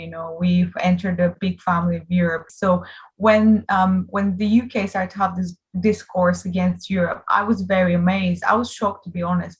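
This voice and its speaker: A woman's voice: lowish voice, rough recording. A woman, answering interview questions in an earnest, passionate and emphatic way. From Bulgaria. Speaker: interviewee, political activist.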